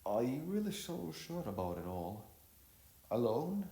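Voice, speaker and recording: A man voicing a concerned, gentle young woman in a storybook, with a hesitant, questioning manner. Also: mid-range voice, sing-song, measured pace, gravelly, roomy sound, pitched above the performer's own voice.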